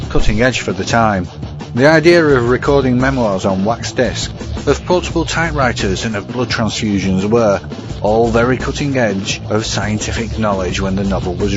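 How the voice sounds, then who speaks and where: A man delivers a scripted podcast review in formal, measured phrasing. His voice is fairly low and slightly muffled, with music clearly playing behind it. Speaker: podcast segment presenter and film reviewer. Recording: muffled sound under prominent music.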